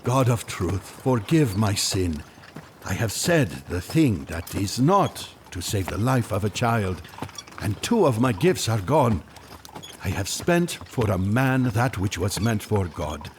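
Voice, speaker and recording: A man's voice: lowish voice, sing-song, a little mellow, slight background noise. A man playing a devout, weary Magian pilgrim in an audio drama, speaking formally and earnestly. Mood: anguished prayer. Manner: formal, solemn, measured.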